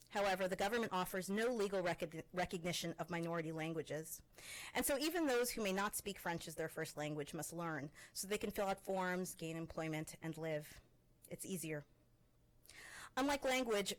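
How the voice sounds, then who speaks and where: A woman from Canada with a fairly low voice reads her own essay aloud to an audience. Her delivery is measured and expressive, with weighty pauses on key words. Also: rough recording. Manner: measured, expressive literary reading with pointed pauses. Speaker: faculty presenter. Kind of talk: reading a personal essay aloud.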